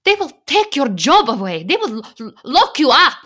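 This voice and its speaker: An actress playing a firm, protective Slovak immigrant wife in a radio play, speaking with a Slovak accent in a measured, pleading, emphatic way. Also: female voice, sits high, big pitch swings, a bit bright. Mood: urgent. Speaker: actor.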